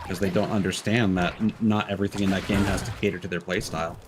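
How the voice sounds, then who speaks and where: A man's voice: low-pitched, steady tone, fast, noisy background. A man giving casual, informal commentary on a gaming stream, with some swearing. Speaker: live streamer.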